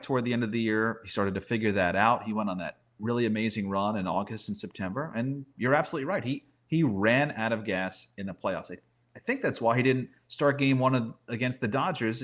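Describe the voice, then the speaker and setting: Talkative man giving baseball analysis. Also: mid-range voice. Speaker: baseball play-by-play broadcaster, podcast guest. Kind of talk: conversational sports analysis.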